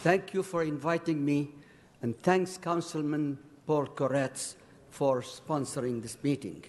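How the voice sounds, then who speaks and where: A man in his eighties, a native Arabic speaker from Iraq, giving prepared testimony at a council meeting, reading slowly and deliberately. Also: a little high, steady tone. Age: 80s. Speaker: invited speaker. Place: large council chamber. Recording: long hall reverb.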